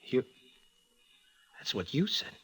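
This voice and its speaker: An actor with an American accent playing a slippery but lovestruck racetrack tout in old-time radio style, slangy and hesitant, with a husky voice. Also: male voice, slow pace, fairly bright tone. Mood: guarded.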